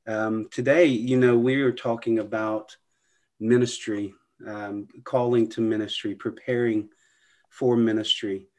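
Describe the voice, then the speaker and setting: A man's voice: fairly low pitch, little pitch movement, a little slow, darkish tone. Friendly man hosting a podcast. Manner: friendly, with hesitant restarts. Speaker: podcast host.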